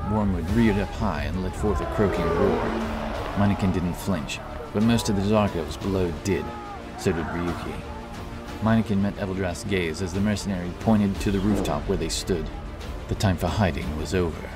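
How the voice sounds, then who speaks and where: A man narrating a fantasy story in a steady, scripted style. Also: deep voice, normal speed. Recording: narration over loud music.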